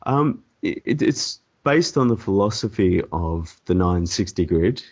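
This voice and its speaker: A man with a cold and a croaky, hoarse voice, answering interview questions hesitantly with many ums and restarts. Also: low voice, lively intonation, a little slow, darkish tone. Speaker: template developer guest. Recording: dull lo-fi audio.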